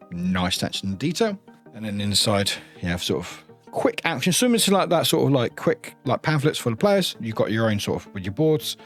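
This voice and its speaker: A man presenting an unboxing in a casual show-and-tell style, with informal, loosely phrased and appreciative commentary. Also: low voice. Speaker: product reviewer, unboxing host. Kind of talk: casual show-and-tell commentary, sometimes reading blurbs aloud.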